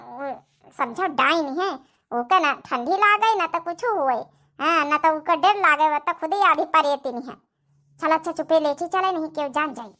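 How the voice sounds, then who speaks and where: A woman's voice: high-pitched, pushed and bright. A woman voicing dramatic, exclamatory village in-laws in a cartoon comedy, with an Awadhi accent. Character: scheming young daughters-in-law and fretting mother-in-law, village family.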